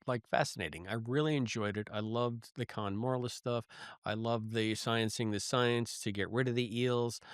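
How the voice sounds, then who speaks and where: A man's voice: mid-range voice, clear, resonant tone, no room sound. Male podcast co-host, mid-range voice, wry humor, conversational delivery, dry sound. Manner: wry, jokey. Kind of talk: casual chat, review podcast.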